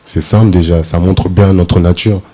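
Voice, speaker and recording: A man's voice: low-pitched, steady tone, normal speed, warm tone. A man from DR Congo, a pageant contestant giving earnest, opinionated answers in short phrases. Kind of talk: casting interview answers.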